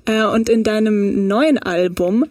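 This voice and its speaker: A woman's voice: medium pitch, a little slow, darkish tone, distant mic. A woman host introducing a guest and reading out her interview questions, with short enthusiastic replies. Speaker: radio host.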